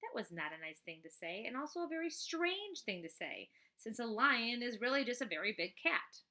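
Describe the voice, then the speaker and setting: A woman reading a children's book aloud like a teacher, expressively, with chatty asides in an animated, emphatic manner. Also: fairly high pitch, normal speed.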